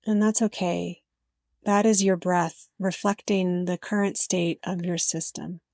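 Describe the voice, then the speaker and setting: A woman narrating a guided meditation in a gentle, unhurried way with frequent pauses. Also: fairly low pitch, fairly even intonation, no echo, clean studio sound.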